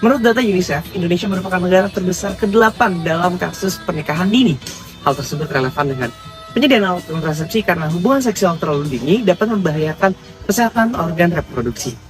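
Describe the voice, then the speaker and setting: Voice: mid-range voice, lively intonation, fast, music in the background. A student presenter reading formal narration for a presentation. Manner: read-aloud, formal.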